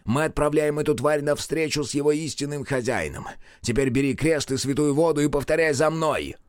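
A man voicing a stubborn old male exorcist who shouts urgent commands in a horror story. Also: fairly high pitch, fairly quick, clean tone, no echo, studio quality, pitched above the performer's own voice, lightly acted.

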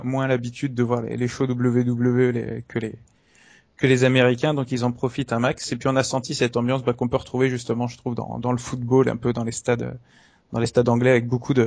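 A man's voice: medium pitch, little pitch movement, a little fast, gravelly, a little mellow, no room sound. A man with a southwestern French accent, a podcast guest who speaks hesitantly and repeats words as he restarts. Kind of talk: casual podcast chat.

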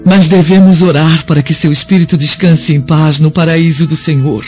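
An actress in a radio drama playing a pious, forgiving wife who pleads earnestly and reproves gently. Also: female voice, low voice, fairly even intonation, normal speed, natural voice. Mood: gently reproving. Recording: muffled lo-fi radio drama with music.

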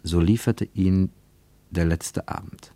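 An actor narrating a literary radio play as a grave, reflective storyteller in a measured manner. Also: male voice, low voice, fairly even intonation, gravelly, mellow, no room sound, heavily acted. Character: grave, reflective male storyteller of a travel tale.

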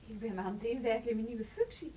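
An actress in a Dutch radio drama playing an earnest, conciliatory deputy headmistress, polite and hesitant in manner. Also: female voice, on the high side, quick pace, warm tone, close to an everyday voice. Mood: earnest, cautious. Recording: noisy old radio drama recording.

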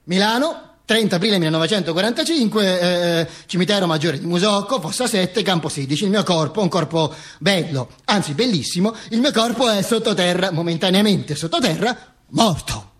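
An actor playing a vain, ranting, self-mocking dead dictator in an emphatic, declamatory theatrical radio monologue. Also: male voice, high-pitched, animated, brisk, fairly bright tone, breathy edge, full character voice. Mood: sardonic, musing. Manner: emphatic, declamatory, with insistent repetitions and interjections. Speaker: stage actor performing own monologue.

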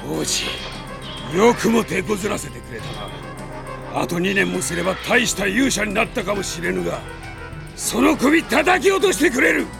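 An actor playing a brash, battle-hardened soldier with rough, forceful speech in a radio drama. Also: male voice, high voice, big pitch swings, moderate pace, music in the background, full character voice. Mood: menacing, gloating.

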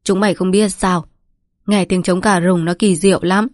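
A woman's voice: fairly low pitch, steady tone, normal speed, a little mellow, dry recording, studio quality, close to an everyday voice. A woman voicing a dying old village patriarch, bitter and sentimental, who laments and scolds in a weakened voice. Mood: solemn, wistful. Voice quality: weak, breathy, trembling delivery.

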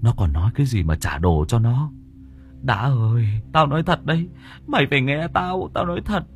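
A man's voice: medium pitch, animated, normal speed, pitched above the performer's own voice, natural voice. A man voicing a terrified young man in a spoken horror story, stammering and pleading for help.

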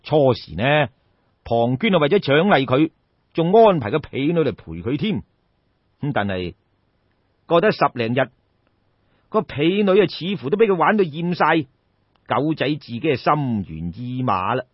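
A man's voice: a little high, sing-song, measured pace, long pauses, slightly rough, no echo, muffled recording. A man with a Cantonese accent telling a story in a colloquial, theatrical traditional oral style, addressing listeners directly. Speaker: storyteller, audiobook narrator.

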